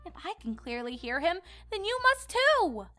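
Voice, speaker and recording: A woman voicing an excitable, inquisitive young female pony in a cartoon fan fiction, questioning and emphatic. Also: very high voice, moderate pace, faint music behind, full character voice. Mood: insistent.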